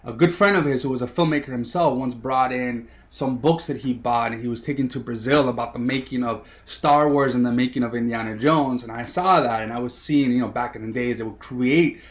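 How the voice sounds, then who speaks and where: A man's voice: medium pitch, a little fast, hardly any pauses. A man in his thirties from Miami, a sports producer telling his story as a guest, eager and rambling with many fillers. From Miami, USA. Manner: eager, rambling, heavy on "you know" fillers. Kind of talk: casual interview storytelling.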